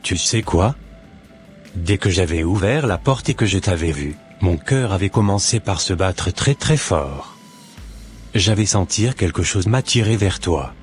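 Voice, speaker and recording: A man's voice: low-pitched, expressive, measured pace, soft background music. A man voicing an earnest, courteous and easily charmed husband in a scripted cartoon, with formal phrasing. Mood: smitten.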